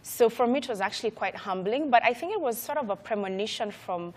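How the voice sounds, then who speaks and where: A woman's voice: a little high, expressive, quick pace. A young woman with a Kenyan accent, speaking conversationally as an interview guest and stressing points by repeating words. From Nairobi, Kenya. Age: young adult. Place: TV studio.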